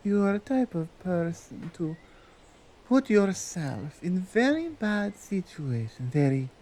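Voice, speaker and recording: A woman playing a strong, dominant female Krampus in an ASMR roleplay, speaking in a deliberate, halting way with a Nordic accent. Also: fairly low pitch, very animated, warm tone, exaggerated voice. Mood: sincere, admiring. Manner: slow, deliberate, halting foreign-sounding phrasing. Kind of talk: ASMR roleplay monologue addressed to the listener.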